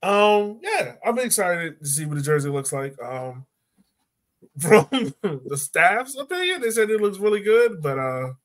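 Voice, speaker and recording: A man's voice: sits high, big pitch swings, a little slow, fairly bright tone. A man in his thirties with an American accent, hosting a casual sports chat in a jokey, opinionated way. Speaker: podcast host. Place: room at home. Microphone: video call.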